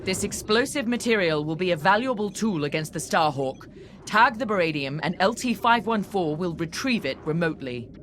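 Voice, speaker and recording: A woman's voice: fairly low pitch, animated, normal speed, fairly bright tone, slight background noise. An actress playing a proud, ruthless woman starship captain who speaks in a clipped, formal, commanding way in a video game. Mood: stern briefing. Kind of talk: scripted video game cutscene acting.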